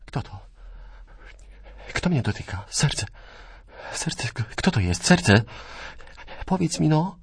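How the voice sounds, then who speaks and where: An actor playing the bewildered, frightened mind of a newborn in a radio play, asking hesitant questions. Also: male voice, a little high, very animated, slow, gravelly, long pauses, husky, dry recording, clean studio sound, heavily acted. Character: newborn's bewildered consciousness, male, fearful.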